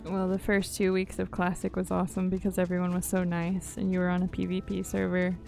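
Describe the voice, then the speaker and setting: A woman's voice: fairly low pitch, monotone, fairly quick, creaky, dark tone. A woman streaming a game, chatting casually and informally, musing aloud and often letting sentences trail off. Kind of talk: casual livestream chat while playing a game. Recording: game music behind the voice.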